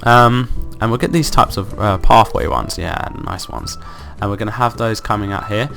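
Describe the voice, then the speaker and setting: A man with a croaky voice from being ill, hosting casual gameplay commentary and thinking aloud in an upbeat, rambling way. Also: lowish voice, normal speed, bright, forceful tone, soft background music. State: croaky from illness. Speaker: let's play host.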